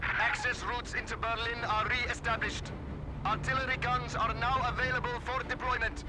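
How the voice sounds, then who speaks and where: An actor playing a zealous Soviet commander, giving intense, declamatory battle orders in a war video game. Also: male voice, very high voice, flat delivery, moderate pace. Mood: urgent, authoritative. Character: fiery, zealous Soviet commander rallying troops. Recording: loud battle noise, gunfire, artillery.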